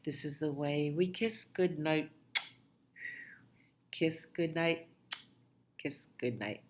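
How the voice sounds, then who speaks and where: Slow, low-pitched woman reading bedtime stories. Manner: gentle sing-song delivery for young children. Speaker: children's librarian and storytime host. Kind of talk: children's storytime with read-aloud and nursery rhymes.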